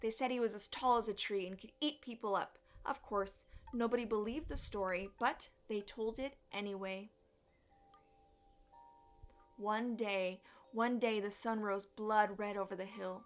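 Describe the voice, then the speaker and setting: A woman reading a children's story aloud in an expressive, deliberate storyteller's way. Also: mid-range voice, soft background music. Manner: animated, deliberate storytelling with acted sound effects. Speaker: storyteller, elementary music teacher.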